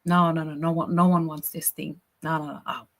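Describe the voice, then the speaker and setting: A woman's voice: sits low, little pitch movement, brisk. A woman from Austria, a business coach teaching a live workshop in a chatty, encouraging way, often checking in with "right?" and "you know".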